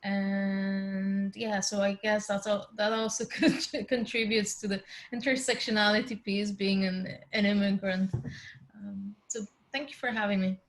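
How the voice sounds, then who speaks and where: A woman's voice: medium pitch, little pitch movement, unhurried. A woman from Bulgaria introducing herself as a consultant on a video call panel, casual and searching for words. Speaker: diversity and inclusion consultant.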